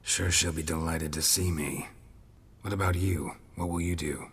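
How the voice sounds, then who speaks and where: An actor playing a gruff, terse middle-aged monster hunter in a video game, talking in a dry, clipped way. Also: male voice, very deep voice, steady tone, normal speed, fairly bright tone, full character voice.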